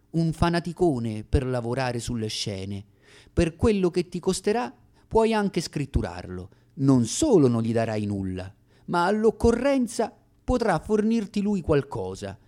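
An actor playing a dry, offhand letter-writer, dictating in a clipped deadpan way in a radio play. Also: male voice, medium pitch, voice pushed down. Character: dry male letter-writer coolly recommending a young actor.

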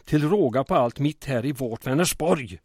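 An actor playing a small-town mayor under occupation in an audio drama, earnest but wry and weary. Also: male voice, medium pitch, expressive, darkish tone, no echo, clean studio sound, natural voice.

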